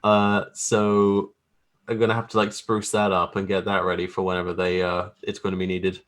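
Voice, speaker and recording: A man's voice: sits low, completely flat delivery, moderate pace, fairly bright tone. A man chatting casually as an interview guest, talking in a hesitant, rambling, self-deprecating way. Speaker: guest, graphic artist who makes trailers.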